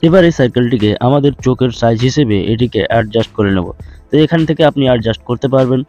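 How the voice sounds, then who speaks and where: A man narrating a step-by-step screen tutorial in a steady instructional way, addressing viewers as friends. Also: mid-range voice, racing. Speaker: tutorial host. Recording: dull lo-fi with faint music.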